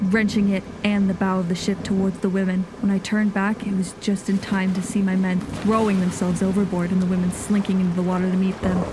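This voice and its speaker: A woman's voice: medium pitch, noisy background, natural voice. A woman playing a grave, haunted nineteenth-century sea captain reading a log in solemn, formal diction for an audio drama. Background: music.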